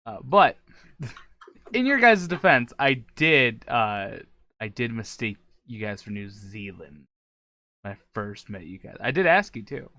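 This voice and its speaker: American man, casual podcast talk, animated intonation, bright tone. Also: mid-range voice, unhurried. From US Midwest. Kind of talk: casual chat.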